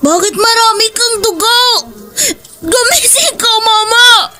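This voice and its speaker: A woman's voice: very high voice, a little slow, music in the background. A woman with a Filipino accent acting a distraught young child calling out for a parent in a horror audio drama. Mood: anxious, tearful.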